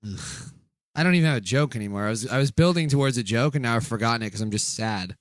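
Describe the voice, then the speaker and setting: A man co-hosting a podcast in casual banter, joking fast and slipping into mock voices for his bits. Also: medium pitch, no echo, clean studio sound. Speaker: podcast co-host.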